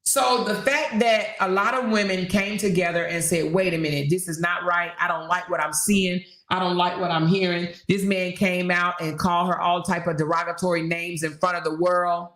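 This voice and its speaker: A woman's voice: on the low side, steady tone, moderate pace, few pauses, fairly bright tone. Emphatic woman streamer in echoey room. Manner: emphatic and repetitive, hammering points with rhetorical questions. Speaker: livestream host. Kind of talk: casual livestream commentary, reading viewer comments.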